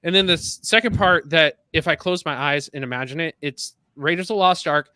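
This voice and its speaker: A man's voice: fairly high pitch, fairly quick, bright, forceful tone, clean tone, dry recording. A man co-hosting a casual podcast, enthusiastic as he talks about movies.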